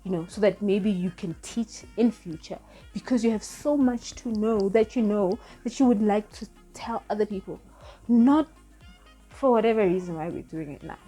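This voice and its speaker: Husky, mellow-voiced woman vlogger. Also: mid-range voice, normal speed, faint music behind, low-quality recording. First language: not English. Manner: rambling, self-correcting, earnest and encouraging. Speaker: spiritual vlogger. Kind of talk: casual spiritual advice vlog.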